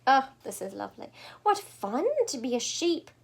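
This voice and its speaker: Woman voicing an excitable high-pitched storybook pig. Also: normal speed, vocal fry, breathy edge, exaggerated voice. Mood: delighted. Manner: exclamatory, dramatic. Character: earnest, dreamy male pig who turns panicky.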